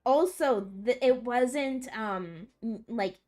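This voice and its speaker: Young woman streamer w a high voice, chatty and rambly, lots of um and like. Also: takes it slowly, a little mellow, faint music behind. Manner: rambling, hesitant, many fillers. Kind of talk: casual chat.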